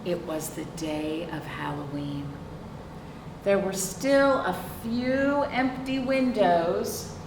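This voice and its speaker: A woman's voice: lowish voice, slow. A woman reading a picture book aloud to young children, expressively, with chatty questions and asides to her listeners. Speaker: librarian hosting a children's storytime. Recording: roomy, distant microphone, clear background noise.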